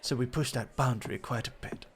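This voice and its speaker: A man's voice: medium pitch, fairly quick, slight background noise, natural voice. A man playing a flirty, teasing club host and rival, theatrically polite and suggestive, in an ASMR roleplay. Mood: teasing, confiding.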